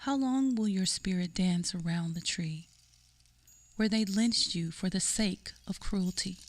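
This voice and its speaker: A woman reads a poem in a solemn, measured way. Her pace is slow and unhurried, and her pitch sits in the middle range. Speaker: poem reader. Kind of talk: dramatic poetry reading.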